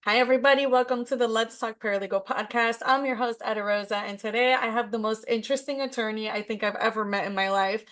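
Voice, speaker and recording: A woman's voice: on the high side, steady tone, fast talker, few pauses, a bit bright. A woman hosting a podcast interview, chatting in an upbeat, enthusiastic way. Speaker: podcast host. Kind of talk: casual interview chat.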